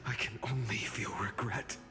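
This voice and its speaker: An actor playing an earnest, compassionate young hero in a game, speaking regretfully in a soft, breathy way. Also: male voice, mid-range voice, fairly even intonation, normal speed, over music, natural voice. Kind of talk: scripted game dialogue.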